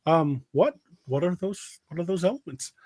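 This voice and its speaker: Male podcast panelist, slightly higher pitch, dry sound, wry and joking in relaxed group conversation. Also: normal speed, dry recording. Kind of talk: casual group chat on a livestream.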